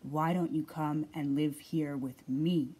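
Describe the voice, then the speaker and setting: Lady doing a big growly storybook bear, inviting, drawn-out and dropped low. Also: steady tone, dark tone, husky, full character voice.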